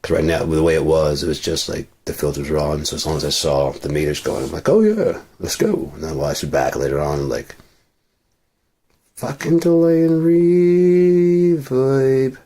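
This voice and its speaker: A man's voice: very deep voice, animated, moderate pace, mellow. A man talking casually on a livestream, rambling and swearing freely, into a processed studio microphone.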